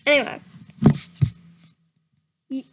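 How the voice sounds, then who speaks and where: A woman's voice: takes it slowly, a bit bright, a little hiss or hum. A young woman giving a mocking dramatic reading of a fan story, with sarcastic asides and frequent giggles. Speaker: reader.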